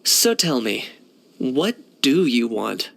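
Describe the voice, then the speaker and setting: A young mercenary hunter for a video game. He is cool and pragmatic, speaking at a middling pitch in a composed, matter-of-fact way with a dry edge. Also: sing-song, slow, vocal fry, a bit bright, exaggerated voice. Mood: dry, unimpressed. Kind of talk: video game character acting.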